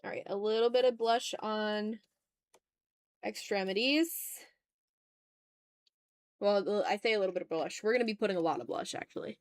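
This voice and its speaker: Woman streaming art, mid pitch, chatty and informal, mumbles little asides to herself, close dry sound. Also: moderate pace, pauses often, dry recording. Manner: informal, muttering asides to self. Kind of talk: casual livestream chat while drawing.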